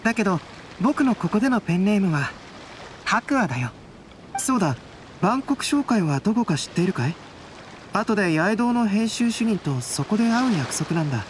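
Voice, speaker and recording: A man voicing a calm, polite young painter in an anime game, with a composed, soft-spoken, reserved manner. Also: sits high, moderate pace, slight background noise. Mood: calm, friendly. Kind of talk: Japanese anime game voice acting.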